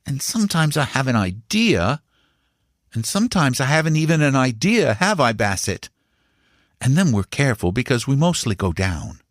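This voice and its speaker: A man's voice: sing-song, moderate pace, no room sound, clean studio sound, natural voice. A man doing a tense, anxious young boy. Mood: earnest. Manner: high, strained, earnest.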